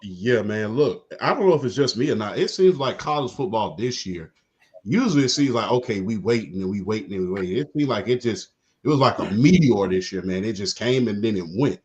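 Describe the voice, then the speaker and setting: A man's voice: fairly low pitch, animated, a little fast, a little mellow. A man hosting a casual sports podcast, laid-back and saying "man" after nearly every phrase. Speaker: podcast host. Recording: dull lo-fi sound.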